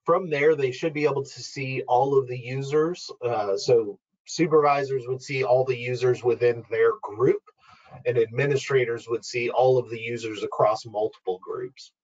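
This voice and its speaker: A man presenting an informal, step-by-step software walkthrough in an explanatory, instructional way, as a webinar trainer. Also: mid-range voice, fairly even intonation, moderate pace, highs cut off. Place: online webinar screen-share.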